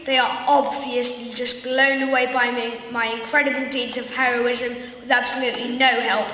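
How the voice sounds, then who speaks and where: A woman's voice: fairly high pitch, flat delivery, normal speed, bright, forceful tone. A woman playing a vain, self-absorbed famous schoolboy wizard with a boastful teenage drawl in a comic amateur play, recorded in an echoey hall. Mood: gushing, self-satisfied. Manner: boastful, drawling teen "like" talk. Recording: echoey hall, distant mic, faint noise.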